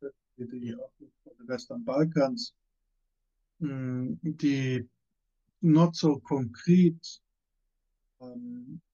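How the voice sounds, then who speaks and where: German-accented male panelist on a muffled call, mellow tone, halting delivery, plenty of ums. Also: medium pitch. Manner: hesitant, many fillers and restarts. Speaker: panelist, former member of parliament. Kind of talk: online panel discussion. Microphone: video call.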